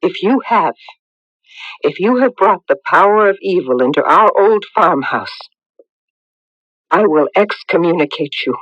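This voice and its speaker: A woman's voice: lowish voice, expressive, unhurried, pauses often, no room sound. An actress playing a stern, anguished middle-aged mother in a radio drama. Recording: dull, dry vintage radio recording.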